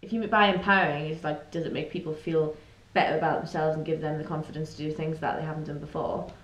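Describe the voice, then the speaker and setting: A woman's voice: deep voice, steady tone, fast talker. A woman, a performer, giving reflective answers in an interview. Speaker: burlesque performer. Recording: echoey room, faint noise.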